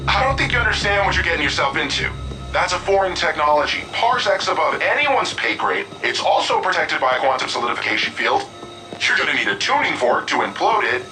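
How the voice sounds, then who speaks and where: An actor playing a cocky, shifty hacker and fixer in a video game, slangy, irreverent and wisecracking. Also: male voice, a little high, sing-song, brisk, over music, poor audio. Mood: smug. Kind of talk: video game cutscene acting.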